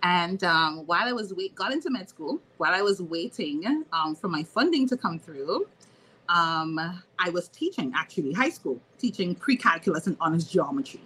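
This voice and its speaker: Woman guest from Trinidad and Tobago, lively storyteller, mid pitch, faint hiss behind. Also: normal speed, low-quality recording. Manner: laughs often, animated storytelling. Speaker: podcast guest, physical therapist. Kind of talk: casual interview chat.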